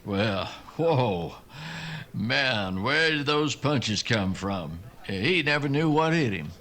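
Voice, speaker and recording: Man playing a slow, sardonic Texan cowboy. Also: mid-range voice, animated, a bit bright. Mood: impressed. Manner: folksy, dry. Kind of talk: western radio drama acting.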